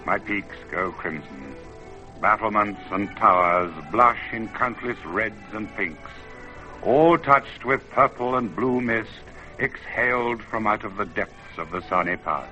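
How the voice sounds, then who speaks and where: A man's voice: lowish voice, measured pace, pushed and bright, lo-fi sound. A man voicing a proud, paternal mountain spirit in solemn, stately, declamatory verse for an old-time radio drama. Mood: majestic. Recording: vintage radio, music bed.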